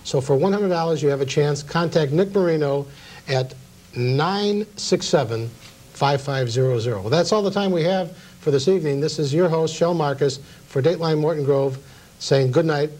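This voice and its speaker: Higher-pitched middle-aged male talk show host. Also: moderate pace, a little mellow. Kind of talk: local cable talk show hosting. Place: small TV studio at a table.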